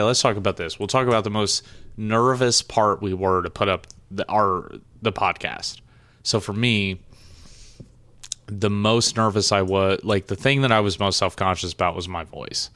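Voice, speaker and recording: A man with a Midwestern accent and a low, twangy voice, chatting casually as a podcast co-host, swearing, laughing and stumbling over words. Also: normal speed, fairly bright tone, dry recording. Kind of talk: casual chat.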